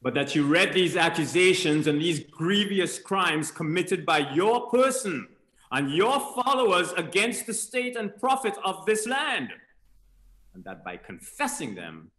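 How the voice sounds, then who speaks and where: A man's voice: on the high side, very animated, unhurried, fairly bright tone, reverberant, low-quality recording. An actor playing a stern lord who presses a fallen king to confess, in a play reading over a video call, insistent and formal.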